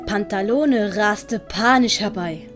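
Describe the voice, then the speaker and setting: A woman's voice: mid-range voice, slow, faint music behind. A woman with a Swiss German accent reading scripted story narration for an audiobook.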